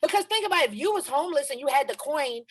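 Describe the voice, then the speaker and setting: A woman's voice: sits high, lively intonation, very fast. A woman chatting casually on a video call before a table read. She is blunt and salty and speeds up when she gets riled. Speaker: table read cast member. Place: home in Los Angeles.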